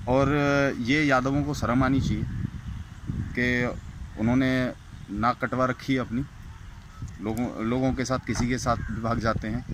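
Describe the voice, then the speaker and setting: A man's voice: medium pitch, steady tone, a little slow, long pauses. Scolding man over very noisy call. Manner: indignant, scolding. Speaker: commentator. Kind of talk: informal opinion rant on a recorded call. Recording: very noisy phone call recording outdoors, vehicles.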